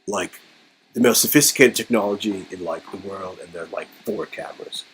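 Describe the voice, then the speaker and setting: A man's voice: sits low, moderate pace, slight background noise, poor audio. A man reading a story game aloud as host, playfully dramatizing it and breaking off into joking commentary. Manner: playful, riffing, swings into exclamations and mock voices.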